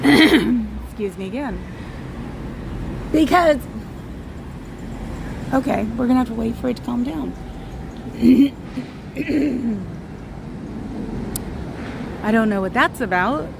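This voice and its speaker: A woman's voice: a little high, lively intonation, takes it slowly, vocal fry, long pauses. A woman live-streaming outdoors on a city street, talking in a rambling, chatty way and calling out to passers-by while she is losing her voice. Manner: chatty, digressive, calls out to passers-by. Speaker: livestreamer. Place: outdoors on a street in San Francisco. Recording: outdoor street recording with traffic noise.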